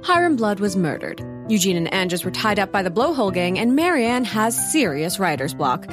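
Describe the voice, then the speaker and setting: A woman's voice: mid-range voice, big pitch swings, moderate pace, over music, natural voice. A woman playing a wry narrator, delivering scripted comic story narration in an audio drama. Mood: wry, dramatic.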